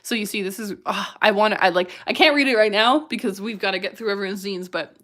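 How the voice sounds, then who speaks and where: A woman's voice: medium pitch, quick pace, a bit bright. A young woman hosting a livestream, talking in an effusive, enthusiastic way about viewer submissions. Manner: effusive and enthusiastic, gushing over what she reads. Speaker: livestream host. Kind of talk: live-streamed chat reading viewer submissions.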